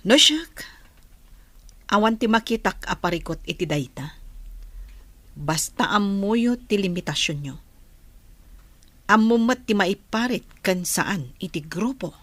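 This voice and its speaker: An actress playing a supportive, excitable village woman in an Ilocano radio drama, speaking expressively and emphatically. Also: mid-range voice, a little slow, long pauses, no room sound. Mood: surprised, emphatic.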